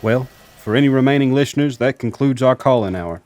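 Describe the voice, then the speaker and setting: A man playing a courteous, measured late-night soul radio DJ in a radio drama. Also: mid-range voice, a little mellow, slight background noise, natural voice. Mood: on-air showman.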